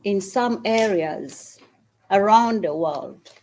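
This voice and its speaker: A woman from Uganda, the founder of a small charity, gives an earnest speech at an event and makes an appeal with long pauses. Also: medium pitch, expressive, darkish tone, rough recording. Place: event hall at a university.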